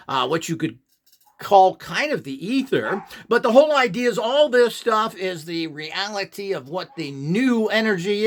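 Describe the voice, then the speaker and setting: Emphatic man, higher voice, very animated delivery. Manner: emphatic, scornful, rhetorical questions. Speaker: host of an instructional channel. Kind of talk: opinionated monologue to camera or listeners.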